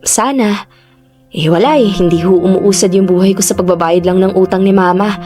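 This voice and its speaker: A woman's voice: medium pitch, moderate pace, dark tone, faint music behind, natural voice. A woman playing a hardworking, determined young Filipino niece in a Tagalog radio drama, with a Filipino accent. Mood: earnest, resigned.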